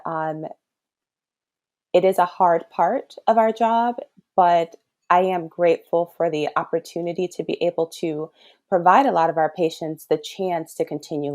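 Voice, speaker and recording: Woman from chicago, lowish voice, earnest, laughs easily, interview guest. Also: a little mellow. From Chicago south suburbs, US. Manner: thoughtful, earnest, laughs often. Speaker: guest, pediatric kidney doctor. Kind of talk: casual interview talk.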